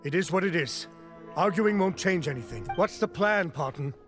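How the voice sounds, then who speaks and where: A level-headed gang member in a video game, fed up and firm. His voice is high and lively, with faint music behind it. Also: moderate pace. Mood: firm, exasperated.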